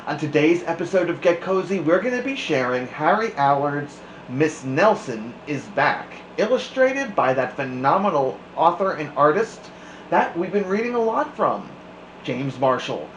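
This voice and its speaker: A man hosting a children's storytime, reading aloud with deliberate, theatrical emphasis. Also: a little high, sing-song, dull audio. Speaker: storytime host and reader. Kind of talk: expressive read-aloud narration for children. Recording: echoey room with faint noise.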